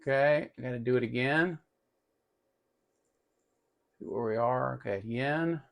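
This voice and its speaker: A man with a medium-pitched voice and a pronounced gravelly creak walks through a hands-on demonstration. He speaks informally, thinking aloud step by step like a tutorial host at the workbench. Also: a little slow. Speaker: host of an electronics tutorial channel.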